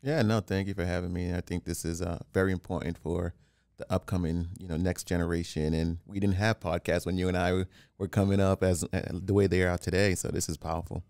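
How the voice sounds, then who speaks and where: A man from Haiti, a real estate developer talking as a podcast guest in a calm, plain-spoken way, often restarting. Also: low-pitched, a little fast, creaky, smooth, dry recording, clean studio sound. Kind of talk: casual interview.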